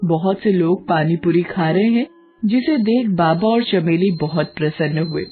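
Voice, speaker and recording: A woman narrating a cartoon story in a measured storytelling manner. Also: fairly low pitch. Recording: dull lo-fi audio, faint music.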